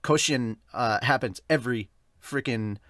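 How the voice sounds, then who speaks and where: A man's voice: mid-range voice, measured pace, a bit bright, slight background noise. A man hosting a podcast, chatting casually and rambling, often correcting himself as he reviews manga. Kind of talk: casual chatty review intro with live commentary.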